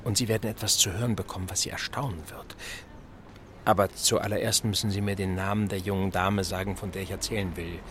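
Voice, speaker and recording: Man playing a genial, rambling older admiral, low-voiced. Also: flat delivery, fairly quick, background noise, natural voice. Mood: genial, confiding. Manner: musing, rambling, jovial. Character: chatty, absent-minded older admiral, genial gentleman. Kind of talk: radio play acting of period novel.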